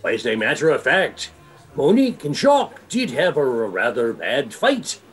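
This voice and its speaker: An actor playing a retired, gossipy nightclub regular, speaking in a deliberate, knowing way. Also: male voice, medium pitch, huge pitch swings. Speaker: actor in community theatre radio play. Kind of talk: theatrical radio play dialogue.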